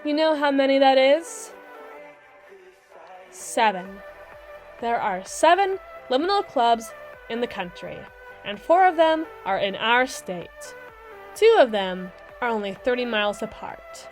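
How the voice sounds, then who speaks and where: A brisk mermaid lawyer, acted by a woman, speaks in a lightly high voice with faint music behind her. She is articulate, condescending and dryly witty, delivering her scripted roleplay monologue like a lecture, with deliberate pauses for dramatic weight. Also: animated. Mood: pointed, probing. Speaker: audio roleplay performer. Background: background music.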